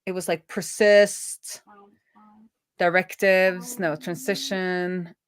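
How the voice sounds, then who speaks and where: A woman's voice: lowish voice, level tone, slow pace. A woman doing casual live coding commentary as a streaming developer, thinking aloud as she goes.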